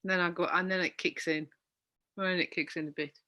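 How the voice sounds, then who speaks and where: A woman's voice: on the low side, steady tone, moderate pace, sharp tone. A middle-aged woman with a North East English accent that drifts toward Yorkshire, chatting as a podcast co-host, teasing and incredulous, laughing often. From North East England. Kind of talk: casual chat.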